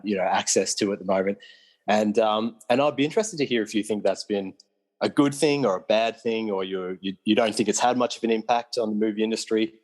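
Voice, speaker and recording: A man, co-hosting a casual film chat, asking questions in a hesitant way with restarts and fillers. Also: fairly low pitch. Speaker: podcast co-host.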